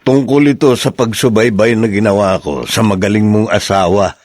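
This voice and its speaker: A man's voice: lowish voice, steady tone, moderate pace, darkish tone, no room sound, pitched below the performer's own voice, lightly acted. A man voicing a blunt, protective older brother who reports a husband's affair, in a radio drama. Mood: stern. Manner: grave, blunt.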